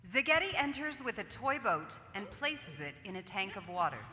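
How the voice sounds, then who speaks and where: A woman's voice: medium pitch, very animated, normal speed, bright, forceful tone. A woman reading stage directions as dramatic, emphatic scripted narration in a theatre. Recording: echoey live theatre hall.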